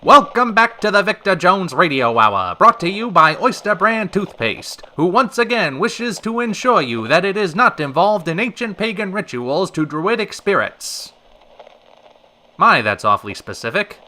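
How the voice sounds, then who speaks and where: A man acts out a smooth-talking host of a pulp-city radio hour. His male voice sits high and swings in lively arcs, with a sharp, ringing edge. He is wordy and polished, with dry sarcasm under the showmanship. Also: moderate pace, heavily acted. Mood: polished, wry. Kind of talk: old-time radio show hosting.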